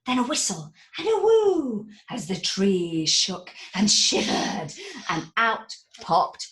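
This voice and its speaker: Woman, storybook reader, sing-song rhymes, very lively pitch, slow, echoey room. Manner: expressive, sing-song, with dramatic whispers and gasps. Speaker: author reading her picture book. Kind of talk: reading a rhyming children's book aloud.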